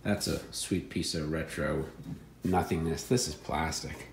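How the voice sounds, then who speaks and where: A man with a notably low voice talks casually, like a reseller going through a haul. His delivery is offhand and wry, with dry joking asides. There is a little background noise around the voice. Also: fairly even intonation, measured pace, vocal fry, roomy sound. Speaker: reseller showing secondhand finds. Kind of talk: casual chat.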